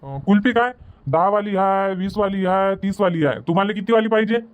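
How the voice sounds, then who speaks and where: A man's voice: high-pitched, a little fast, close to an everyday voice. A man voicing a cartoon village ice-cream vendor with repetitive street-seller patter, in dull lo-fi sound. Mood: businesslike. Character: village kulfi vendor calling out wares. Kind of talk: cartoon comedy dubbing.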